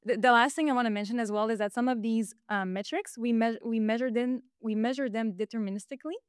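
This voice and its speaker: Quebecoise woman guest, French native, smooth dry close voice, explains with ums and restarts. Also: on the high side, quick pace, no room sound. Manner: explanatory with frequent fillers and restarts. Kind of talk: casual interview.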